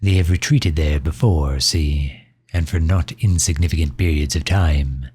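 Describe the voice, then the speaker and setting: A man performs a megalomaniac villain with an extremely low, husky voice. He is pompous and suave, talking down to his servant in formal, leisurely phrases. It is an ASMR roleplay, recorded close and dry. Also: measured pace, darkish tone, no room sound, heavily acted. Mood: smug, explaining.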